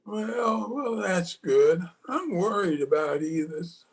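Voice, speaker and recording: Slow, stammering, feeble old grandfather. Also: high-pitched, animated, heavily acted, low-quality recording. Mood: confused, fretful. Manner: stammering, halting, repeating words. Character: feeble, forgetful old grandfather, devoted husband, tender and querulous. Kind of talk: old-time radio drama acting. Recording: home video call with music.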